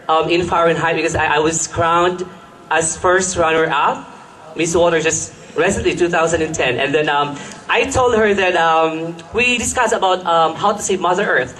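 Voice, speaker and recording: A man's voice: a little high, moderate pace, a bit bright, slight background noise, poor audio. A young man answering a pageant question on stage, rambling with many fillers and restarts in a gushing manner. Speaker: pageant contestant. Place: live event stage at a mall.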